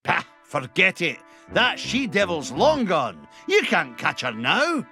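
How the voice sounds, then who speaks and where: A smug, cheating rival tycoon duck who sneers with pride. His bright, sharp voice swoops wildly, and he draws out his words slowly. Light music sits behind him. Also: high-pitched, huge pitch swings, full character voice. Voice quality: sneering, boastful. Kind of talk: video game cutscene acting.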